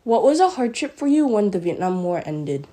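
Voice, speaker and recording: A woman's voice: mid-range voice, big pitch swings, normal speed, warm tone. A woman interviewing her father, reading prepared questions plainly. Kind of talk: family interview.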